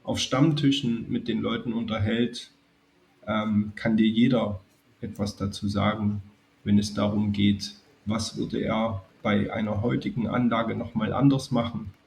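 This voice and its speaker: Gravelly-voiced man with a cold. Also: on the low side, measured pace, a little hiss or hum, poor audio. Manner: calm, chatty, addresses viewers directly. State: has a cold. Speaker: model railway YouTuber. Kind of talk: casual spoken video narration.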